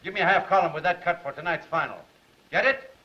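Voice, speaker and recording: A man acting a gruff, bossy newspaper editor, brusque and commanding, in a 1930s film. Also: high-pitched, fast talker, pushed and bright. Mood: barking, impatient. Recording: noisy dull 1930s film soundtrack.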